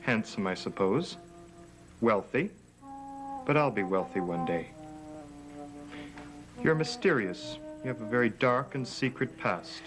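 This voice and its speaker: A man's voice: on the low side, lively intonation, measured pace, pauses often, low-quality recording. An actor playing a jealous, bitter young man in a 1960s soap opera, brooding and taunting, heard on an old TV soundtrack with organ music.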